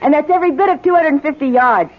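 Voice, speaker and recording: A woman's voice: sits high, fast talker, natural voice. An actress in a radio drama playing a spirited, outspoken, self-assured woman on a jungle expedition, with theatrical delivery. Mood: cheerful, confident. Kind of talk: 1970s American radio drama acting. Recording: muffled, noisy old radio broadcast.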